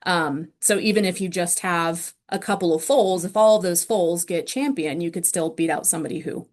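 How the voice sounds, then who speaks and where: A woman's voice: fairly low pitch, moderate pace, a little mellow. A woman presenting an online awards ceremony, steadily listing winners and their breeding lines with frequent ums, speaking over a video call.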